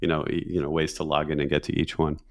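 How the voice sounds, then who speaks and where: Man, podcast host, very low voice, relaxed questions. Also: fast. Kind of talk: casual podcast interview.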